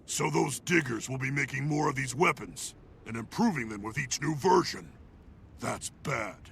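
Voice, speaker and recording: Gravelly, stern fantasy military officer. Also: male voice, mid-range voice, expressive, moderate pace, a bit bright, a little hiss or hum. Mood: grim, resolute. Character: stern, proud military officer of a dragon-fighting order. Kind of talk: fantasy video game voice acting. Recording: game music behind the voice.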